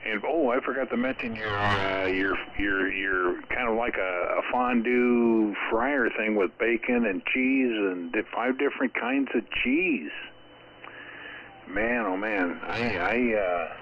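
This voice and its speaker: Low-voiced man on dull ham radio. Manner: rambling, halting. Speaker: amateur radio operator. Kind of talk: casual ham radio chat. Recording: dull, faintly noisy amateur radio transmission.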